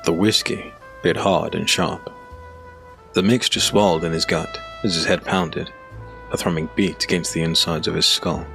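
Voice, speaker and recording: A man reading scripted dark fantasy narration in a measured, dramatic, gravelly voice. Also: sits low, steady tone, faint music behind. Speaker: audiobook narrator.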